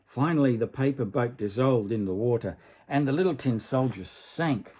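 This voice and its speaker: A man's voice: mid-range voice, moderate pace, warm tone, a little hiss or hum. An older man reading a children's story aloud expressively, dramatically, with asides to a young listener. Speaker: storybook reader.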